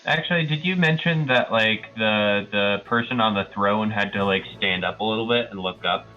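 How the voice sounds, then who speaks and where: A man's voice: fairly low pitch, normal speed, bright, forceful tone, dull audio, soft background music. A man chatting casually as a player in a tabletop game over voice chat. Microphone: online voice call.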